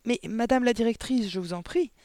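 A man voicing a gentle, earnest young woman teacher in a storybook, speaking politely and softly with quiet resolve. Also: on the high side, very animated, quick pace, vocal fry, no room sound, clean studio sound. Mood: pleading, insistent. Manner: polite, soft, pleading.

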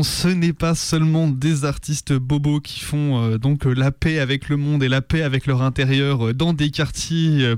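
A man's voice: mid-range voice, darkish tone, smooth, no echo. A man co-hosting a live radio show from a studio, presenting in a casual, conversational style.

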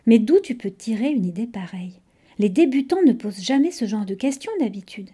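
A woman's voice: a little high, animated, a little fast, mellow, slightly rough. Woman as a weary, bossy creature mentor. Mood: impatient. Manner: grumbling, bossy, curt. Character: tired, impatient female creature training a young novice. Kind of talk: audiobook storytelling.

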